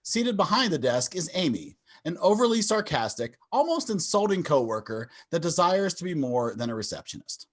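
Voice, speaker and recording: A man's voice: fairly high pitch, sing-song, moderate pace, few pauses. A man reading scripted stage directions as narrator of a table read over a video call.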